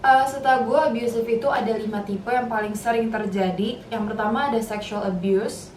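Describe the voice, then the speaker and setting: A woman's voice: fairly high pitch, fairly even intonation, fairly quick, a little mellow. An eighteen-year-old female student, giving her views in a casual discussion. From Indonesia. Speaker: university student. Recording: echoey room, distant mic, faint noise.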